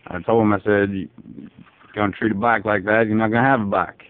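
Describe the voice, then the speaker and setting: A man playing a folksy, easygoing, bewildered dad, rambling deadpan on a prank phone call. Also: fairly low pitch, fairly quick, lightly acted. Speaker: prank caller. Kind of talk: casual phone prank, improvised. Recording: muffled narrow phone line.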